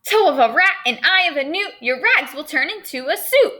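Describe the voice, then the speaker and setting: Woman voicing a bright, chanting, bungling storybook fairy. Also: sits high, expressive, normal speed, pitched above the performer's own voice. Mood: excited spellcasting. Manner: chanting, exclamatory, singsong rhymes. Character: scruffy bungling fairy chanting muddled spells. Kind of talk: children's storybook read-aloud.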